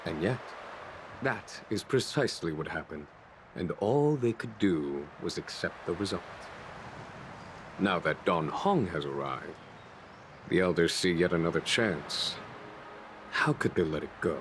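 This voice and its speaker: A low, smooth cunning general. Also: male voice, animated, unhurried, long pauses, slight background noise. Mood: composed. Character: calm, cunning adult male strategist general. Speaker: game character. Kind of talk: video game cutscene dialogue. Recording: game audio with loud music.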